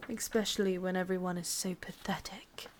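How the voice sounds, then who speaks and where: A woman with an English accent playing a sadistic, possessive, vain witch in a breathy, whispered ASMR roleplay, intimate and menacing. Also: fairly low pitch, steady tone, normal speed, background noise, lightly acted. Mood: obsessed, menacing.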